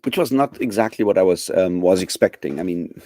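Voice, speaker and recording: Low mellow guy rambling at his stream, lots of ums, dead dry sound. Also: lively intonation, brisk, no room sound. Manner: rambling, many fillers and restarts; chuckles often. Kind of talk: casual chat to live viewers.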